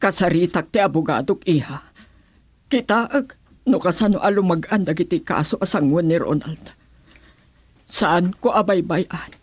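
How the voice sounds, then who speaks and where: A woman with a Filipino accent playing an anguished, protective middle-aged mother in a radio drama, with a husky voice. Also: fairly low pitch, unhurried, dry recording. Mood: distressed, protective.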